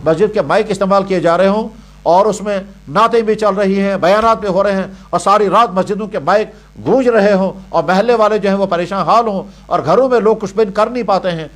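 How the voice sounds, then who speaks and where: A man's voice: high-pitched, brisk. A man giving religious guidance in a didactic, emphatic way, repeating points in parallel phrases. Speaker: Islamic scholar answering religious questions. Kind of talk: religious question-and-answer sermon.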